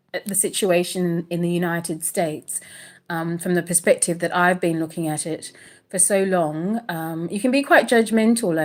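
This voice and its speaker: A woman raised in Australia with a British accent, talking casually and haltingly on a livestream from an apartment, full of pauses and fillers. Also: lowish voice. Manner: hesitant, many pauses and fillers. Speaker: livestream host. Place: indoors in an apartment.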